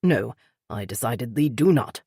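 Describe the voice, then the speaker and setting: A woman voicing a polite, unflappable older British gentleman with a clipped British accent. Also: very deep voice, expressive, normal speed, breathy edge, no room sound, studio quality, full character voice. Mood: calm, polite.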